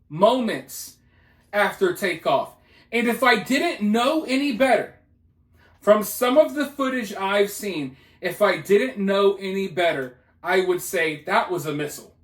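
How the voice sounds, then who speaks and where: A high-pitched male commentator talks through the news in a roomy, echoing space. He is casual and opinionated, leaning on colloquial phrasing and repetition to drive points home. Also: a bit bright. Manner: emphatic, colloquial, repeats phrases for effect. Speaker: commentator hosting a news video.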